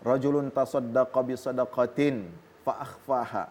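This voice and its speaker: A man with an Indonesian accent, a preacher answering a viewer's question on a TV program, explaining calmly and quoting Arabic scripture. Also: medium pitch, moderate pace. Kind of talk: religious talk on a television program. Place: television studio.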